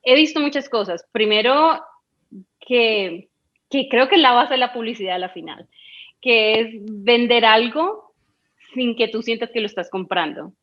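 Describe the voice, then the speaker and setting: A woman's voice: fairly high pitch, unhurried, muffled recording. A woman in a casual conversation as a guest, thinking aloud and asking questions in a reflective way. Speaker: podcast guest asking for advice.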